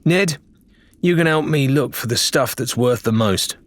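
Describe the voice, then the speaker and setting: A man's voice: medium pitch, a little slow, dry recording, pitched above the performer's own voice, natural voice. A man voicing a brusque, commanding woman pirate in an audiobook, with a snappy, dry manner. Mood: snappish, bossy. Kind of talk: audiobook character voice.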